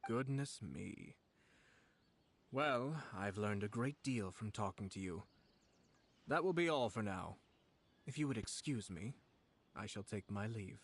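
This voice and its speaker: Composed, low-voiced young nobleman. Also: lively intonation, breathy edge, exaggerated voice. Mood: coolly confident. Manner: formal, measured, politely pointed. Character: composed, courteous, shrewd young nobleman and strategist. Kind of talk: scripted game dialogue.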